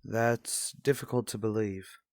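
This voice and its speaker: An actor playing a stern, guarded husband in a radio soap drama, speaking in a formal, measured, skeptical way. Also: male voice, on the low side, fairly even intonation, a little mellow, no echo, studio quality. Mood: doubtful. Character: stern, guarded husband and father in troubled marriage. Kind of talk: revived vintage radio soap drama acting.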